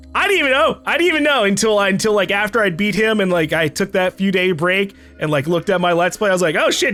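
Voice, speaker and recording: A man with a somewhat high voice gives a casual game review as a video host. He talks off the cuff in a loose, rambling way, halting and circling back as he goes. Music plays behind him. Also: a bit bright.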